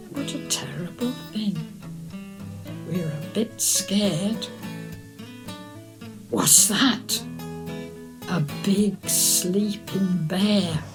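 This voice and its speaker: A woman reading for young children in an expressive, deliberate, sing-song storytelling manner. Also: mid-range voice.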